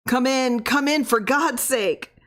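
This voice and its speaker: A woman reader acts out a feverish, terrified man in a horror tale. He gasps and stammers in faint, desperate pleas, the pitch climbing with fear. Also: slow, a bit bright. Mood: desperate pleading. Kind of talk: dramatized horror story reading.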